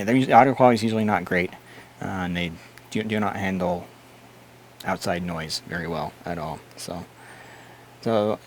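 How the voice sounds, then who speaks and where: A man hosting a casual tech livestream, answering chat questions and explaining things in a blunt, opinionated way. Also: on the low side.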